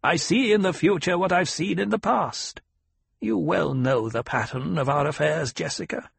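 A man voicing a cruel, demanding old woman leader in an audiobook, sneering and mocking, with a gravelly, husky edge. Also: a little high, no echo, pitched above the performer's own voice, full character voice.

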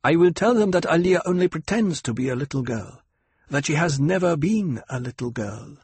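Man doing a fierce protective nursemaid lady, loud, bossy, higher voice. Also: expressive, normal speed, slightly rough, no room sound. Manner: forceful and scolding.

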